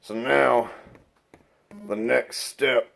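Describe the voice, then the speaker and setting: A man giving a casual, improvised how-to demonstration as a video host, halting and rambling and unsure of himself. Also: mid-range voice, fairly even intonation, gravelly, fairly bright tone, faint music behind.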